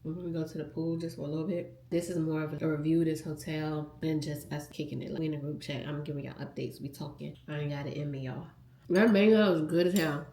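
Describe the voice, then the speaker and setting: A woman's voice: low voice, fairly even intonation, fast talker, mellow, echoey room, a little hiss or hum. A young woman with a stuffed-up, nasal voice, chatting candidly to her phone camera in a travel vlog. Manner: candid, chatty, addresses viewers as y'all. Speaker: travel vlogger. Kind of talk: casual vlog chat to camera. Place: resort on vacation.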